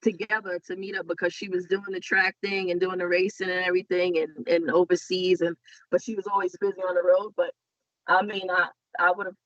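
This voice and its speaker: A man's voice: sits high, little pitch movement, brisk, a bit bright, no room sound. A man from Staten Island, chatting loosely as a podcast guest and restarting his sentences often. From Staten Island, New York. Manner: loose, rambling, many restarts. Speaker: guest rider. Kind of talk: casual podcast conversation. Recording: dull lo-fi sound.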